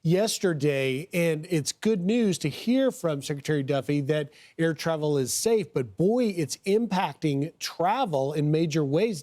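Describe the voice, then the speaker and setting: A man with a slightly high voice works as a news host. He speaks in a broadcast interview style, setting up guests and asking them questions. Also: darkish tone.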